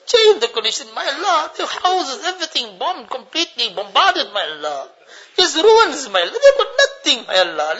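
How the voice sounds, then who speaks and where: A man's voice: very high voice, sharp tone. An older man leads a tearful congregational prayer, pleading and repeating each plea with a refrain, his voice choked with tears. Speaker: imam leading a supplication. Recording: dull lo-fi recording.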